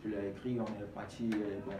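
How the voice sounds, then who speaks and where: A man's voice: lowish voice, level tone, a little fast, recorded from a distance, crowd noise. A young man with an Ivorian accent, a rapper giving casual interview answers in street slang. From Ivory Coast. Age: young adult. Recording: echoey room, off-mic, clearly noisy.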